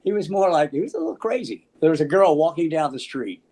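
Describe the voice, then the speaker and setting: An American man in his seventies casually telling anecdotes in an animated, halting way, acting out the dialogue. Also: a little high. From Memphis, United States. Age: 70s. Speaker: interview guest, musician. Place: small room at home, on a video call.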